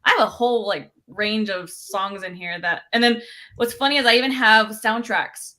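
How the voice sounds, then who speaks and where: A young woman, a singer-songwriter guest, chatting casually in an interview, bubbly and quick to laugh. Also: on the high side, pushed and bright.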